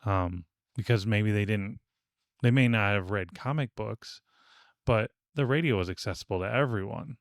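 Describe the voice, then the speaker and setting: A man co-hosting a casual podcast discussion, explaining things in a measured way. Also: lowish voice, no echo, studio quality. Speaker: podcast co-host. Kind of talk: casual panel discussion of old radio serials.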